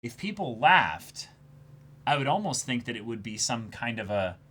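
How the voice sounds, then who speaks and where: Animated man chatting on a livestream. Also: mid-range voice. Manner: animated, halting when thinking aloud, acts out quotes. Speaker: livestream co-host. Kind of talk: casual livestream chat, reading viewer messages aloud.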